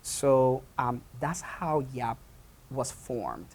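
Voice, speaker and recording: A man from Puerto Rico, a theatre founder and director, answering interview questions in a halting way with many pauses and restarts. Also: medium pitch, expressive.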